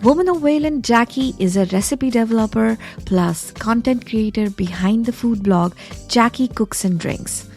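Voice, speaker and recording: A woman's voice: on the high side, moderate pace, a little mellow, soft background music. A woman hosting a podcast with an effusive, bantering manner, moving between scripted introduction and chatty interviewing. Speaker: podcast host. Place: closet.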